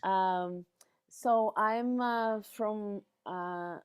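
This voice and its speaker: A woman from northeast Brazil, introducing herself on a video call in a measured way, pausing often on fillers. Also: medium pitch, smooth. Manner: measured, with frequent pauses and fillers. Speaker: researcher and trainee.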